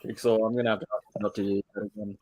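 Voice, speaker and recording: A man's voice: on the low side, little pitch movement, racing, a little mellow, husky. A man on a casual chat panel, making dry, wry remarks. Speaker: podcast panelist.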